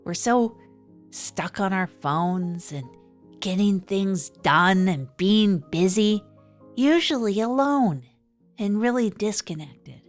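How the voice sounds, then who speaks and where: A woman's voice: mid-range voice, expressive, slow pace. Woman as reflective small-town radio host over music. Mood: reflective, earnest. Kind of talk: improvised monologue in comedy audio drama.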